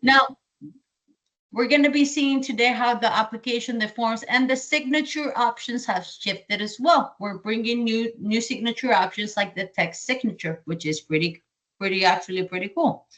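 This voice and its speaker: High-voiced Colombian woman, sales trainer, lively, repeats key points. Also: moderate pace. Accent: Colombian Spanish. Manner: energetic, repeats key points, asks and answers own questions. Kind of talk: training presentation.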